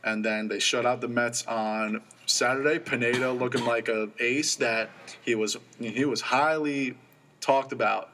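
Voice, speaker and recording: A young man co-hosting a college sports radio show, reading off stats in casual, excitable talk. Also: mid-range voice, background noise. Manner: rattles off stats quickly, excitable.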